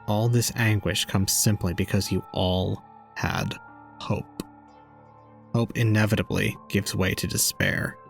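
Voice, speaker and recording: An actor playing a cold, calm young man who preaches despair with detached logic in an audio drama. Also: fairly low pitch, unhurried, gravelly, soft background music. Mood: grim, bleak.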